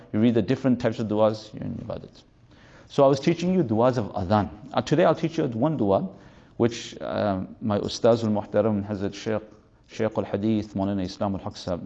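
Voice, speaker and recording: A man's voice: on the low side, mellow, lo-fi sound. A man of fifty giving an informal religious lecture as a teacher, rambling through anecdotes and mixing in Arabic and Urdu terms. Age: 50s.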